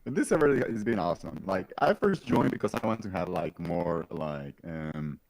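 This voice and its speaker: A man composer with a very low voice, chatting casually on a podcast call, laughing often and pausing on fillers. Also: animated, gravelly, a little mellow, no echo. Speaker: composer on the team.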